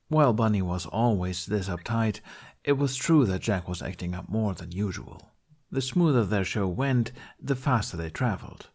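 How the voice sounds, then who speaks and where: A man reading a fantasy story aloud as an expressive amateur narrator. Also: lowish voice, husky. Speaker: amateur podfic reader.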